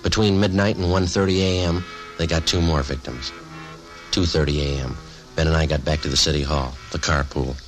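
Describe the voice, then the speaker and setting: A laconic detective with a very deep voice, from an old radio police drama. He keeps it flat and factual, and music plays on the vintage recording. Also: fairly quick. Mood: grim, factual. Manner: flat, understated, matter-of-fact. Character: laconic police detective, a man, calm and businesslike. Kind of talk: 1950s radio police drama, clipped narration and dialogue.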